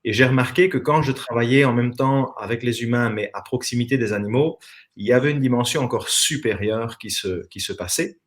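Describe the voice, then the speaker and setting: A man's voice: mid-range voice, a little fast. Man, Belgian French accent, interview guest, earnest, reflective, video call. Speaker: interview guest, former professional dancer. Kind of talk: casual online interview.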